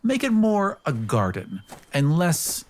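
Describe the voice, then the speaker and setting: A man's voice: a little high, wildly swooping pitch, slow pace, a little hiss or hum. A man playing a tabletop game, casually describing a character as he improvises.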